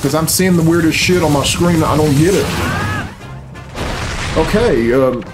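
A man's voice: a little high, a little slow. A middle-aged man giving casual, unscripted reaction commentary in a blunt, sweary, bemused way, recorded at a computer in a room at home. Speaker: reaction video host. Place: small room at home, indoors. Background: game cutscene music.

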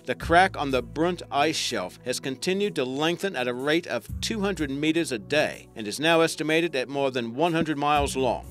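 Male narrator for a scripted countdown show, slightly high voice, faint music bed, talks straight to the viewer. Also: a bit bright. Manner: addresses the listener with rhetorical questions and announced headings.

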